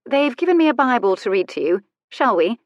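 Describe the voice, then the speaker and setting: A woman's voice: a little high, sing-song, brisk, highs cut off, pitched above the performer's own voice. A woman voicing a bold, worldly widowed courtesan in a storybook reading, composed, witty and playful.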